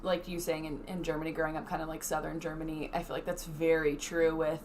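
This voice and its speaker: Giggly chatty woman from wisconsin, fairly low voice, faint hiss. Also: level tone, fast, darkish tone. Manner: giggly, chatty, uses like a lot. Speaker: stream co-host. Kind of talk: casual chat.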